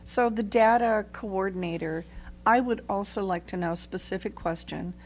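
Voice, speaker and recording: A middle-aged woman at a school board meeting, asking measured questions into a desk microphone. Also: mid-range voice, a little hiss or hum.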